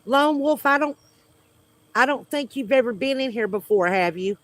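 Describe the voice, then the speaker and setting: A man's voice: very high-pitched, expressive, normal speed, fairly bright tone, no room sound. A man with a Southern US accent hosting a homesteading livestream from outdoors at home. He chats casually with viewers in a hesitant, friendly way with many fillers. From United States. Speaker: homesteading channel host.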